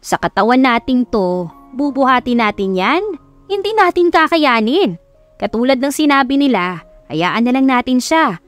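A woman's voice: fairly high pitch, very animated, normal speed, no echo, voice pushed up. A woman with a Filipino accent playing a caring, fretful and respectful household maid in an audiobook story. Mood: fussing. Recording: background music under voice.

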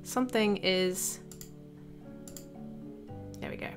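A woman narrating a step-by-step software tutorial as an instructor, explaining each action as she goes. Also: medium pitch, slow. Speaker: graphic design tutorial instructor. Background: background music.

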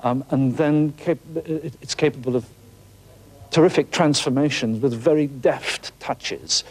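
A man's voice: mid-range voice, a little slow, slightly rough. A middle-aged man, a theatre director, reflecting in an interview with literary phrasing, searching for words. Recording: faint background noise.

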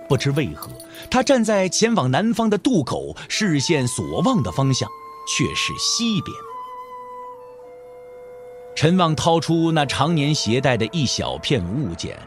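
A man narrating a dramatic audio drama as a scripted storyteller. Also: a little high. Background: background music.

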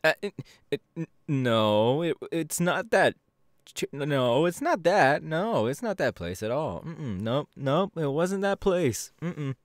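Man acting as young male hero, friendly goofball, bashful and playful, hesitant stammer, animated intonation, dry close ASMR roleplay. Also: fairly high pitch, takes it slowly, no echo. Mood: flustered.

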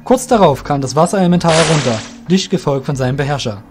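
A man's voice: fairly high pitch, animated, fast, over music. A man reading scripted narration for a fantasy audio drama as its storyteller.

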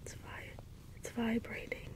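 A woman playing a gentle, puzzled caretaker robot in a soft, breathy ASMR role-play whisper, with halting, deliberate delivery. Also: lightly acted. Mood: gentle, curious. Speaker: ASMR performer. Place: small dim indoor space with cloth backdrop. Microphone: close ASMR microphone.

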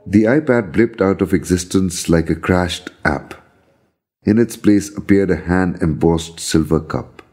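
A man reading a scripted, first-person story of a visionary journey in a measured, solemn manner. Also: low-pitched, a little mellow, husky. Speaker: storyteller reading own account.

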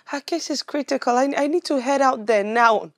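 A woman's voice: high voice, moderate pace, no echo, clean studio sound. An actress with a Nigerian accent playing a distraught, pleading girlfriend in a film drama, repeating herself as she begs. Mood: panicked, pleading. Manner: pleading, repetitive.

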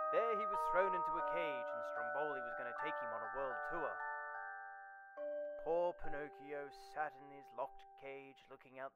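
A man's voice: mid-range voice, lo-fi sound. A man narrating dramatically in the style of an old-time radio serial. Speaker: story narrator. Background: loud mallet music.

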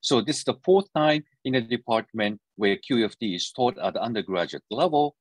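A man's voice: medium pitch, lively intonation, brisk, slightly rough, no room sound. A middle-aged man from Japan giving a university physics lecture over a video call, talking with many fillers and restarts. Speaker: physics professor.

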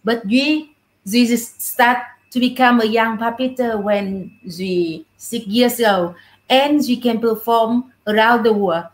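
A middle-aged woman with a Vietnamese accent answering interview questions on a video call in halting, simple English. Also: fairly high pitch, low-quality recording. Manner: halting, non-fluent English phrasing. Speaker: puppeteer, interview guest. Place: small indoor room with puppets, on a video call. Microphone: video call device at arm's length.

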